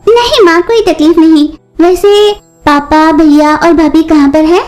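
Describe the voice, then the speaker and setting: A woman's voice: extremely high pitch, fairly even intonation, measured pace, darkish tone, faint music behind, pitched above the performer's own voice. A woman voicing a sweet young female parrot in a cartoon story, innocent and girlish. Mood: sweet, curious. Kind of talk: Hindi children's cartoon story acting.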